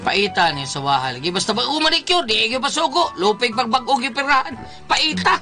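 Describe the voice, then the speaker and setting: A man's voice: very high voice, big pitch swings, brisk, over loud music. A man playing a long-suffering, grumbling husband in a radio drama, with a Cebuano Filipino accent and an exasperated way of talking. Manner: exasperated, complaining.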